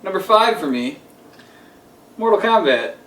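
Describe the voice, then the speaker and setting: An echoey recording, picked up from across the room, of a man hosting a casual group chat. His voice sits a bit high and he talks in a jokey, informal way as he runs the countdown. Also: very animated, unhurried, fairly bright tone. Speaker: podcast host. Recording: echoey room, distant microphone.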